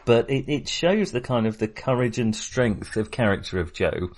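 A man co-hosting a podcast, casually discussing films in halting, hesitant phrases. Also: mid-range voice, expressive, darkish tone. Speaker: podcast co-host.

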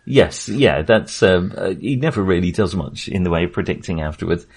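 A man with a fairly low voice talks through a film as a podcast host. His delivery is casual and hesitant, and he often doubles back to reword a thought. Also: lively intonation, a little fast, darkish tone, breathy edge. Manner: hesitant, circling back to rephrase.

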